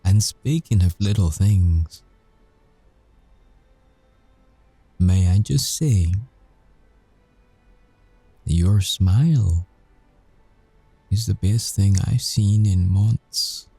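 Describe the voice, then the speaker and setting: A man acts out a brooding, flirtatious werewolf ex-agent for an intimate audio roleplay. His voice is deep and dark, recorded close and dry. He confesses wearily, leaving deliberate pauses between halting phrases. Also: vocal fry, breathy edge, no room sound, soft background music, exaggerated voice. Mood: flirtatious, soft.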